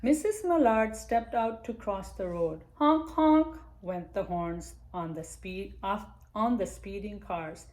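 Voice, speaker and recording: A woman reads a children's picture book aloud in an unhurried, storytime manner, pausing now and then to address young listeners. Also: medium pitch, darkish tone. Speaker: library storytime reader.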